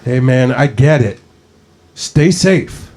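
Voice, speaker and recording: A man's voice: medium pitch, expressive, takes it slowly, a little mellow. An actor in a screenplay table read playing a level-headed, supportive male friend talking on the phone. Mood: concerned.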